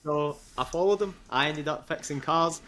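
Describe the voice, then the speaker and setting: A man's voice: a little high, moderate pace, a crowd in the background. A young man with a Scottish accent, a guest in a casual interview, talking in a chatty way with frequent laughs and fillers. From Scotland.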